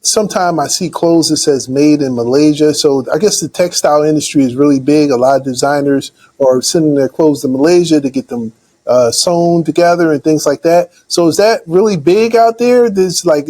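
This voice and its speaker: A man's voice: a little high, moderate pace, a little mellow. A man hosting an interview show, asking questions hesitantly and often repeating his words. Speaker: show host.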